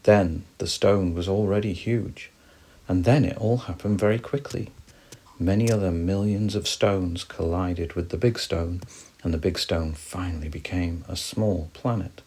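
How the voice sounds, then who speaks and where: A man gently reading a bedtime story for children, expressive and soft. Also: deep voice, a little slow, husky.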